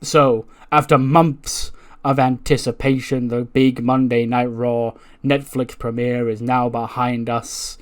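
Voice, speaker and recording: A man acting out a resolute hero wrestler's promo speech, declamatory and deliberate, with dramatic pauses. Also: medium pitch, little pitch movement, close to an everyday voice. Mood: solemn, resolute. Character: showman hero wrestler solemnly addressing an arena crowd.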